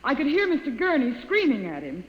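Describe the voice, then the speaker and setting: A woman's voice: high voice, wildly swooping pitch, brisk. An actress playing an emphatic female secretary testifying in court, in 1950s radio drama style. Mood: emphatic, accusing. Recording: echoey old radio courtroom scene.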